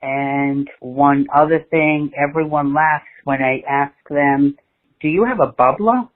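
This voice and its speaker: A woman with a Rhode Island accent speaks slowly in a recorded listener message. The sound is thin and phone-like. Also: low voice. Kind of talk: prepared personal message. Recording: dull lo-fi home recording.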